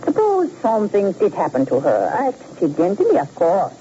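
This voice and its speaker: Cold, dark-toned German Nazi accomplice. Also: female voice, mid-range voice, wildly swooping pitch, normal speed. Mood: coolly menacing. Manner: sardonic, needling, wary. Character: cold, suspicious, jealous female Nazi accomplice. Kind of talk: 1940s radio drama acting. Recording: muffled vintage radio recording, faint noise.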